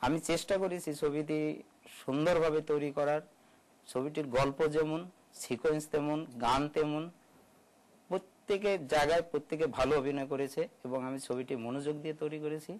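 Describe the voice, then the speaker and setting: A man speaking as a film director, giving measured promotional answers in an interview. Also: a little high, fairly even intonation, darkish tone, poor audio.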